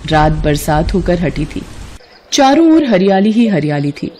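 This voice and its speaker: Mellow woman narrating a devotional story. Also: fairly low pitch, sing-song, a little slow.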